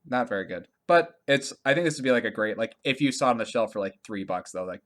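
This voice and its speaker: A man with a very animated, swooping delivery and a mid-pitched voice. He talks as a podcast co-host in casual, rambling chat about games, often breaking into laughter. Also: quick pace.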